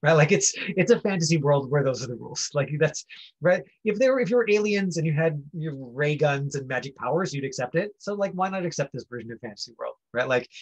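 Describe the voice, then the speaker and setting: A man's voice: on the high side, expressive, a little fast, breathy edge, poor audio. A man teaching as an acting coach, informal and rambling, often checking in with his listeners as he explains and directs. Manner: rambling, checks understanding with "right?".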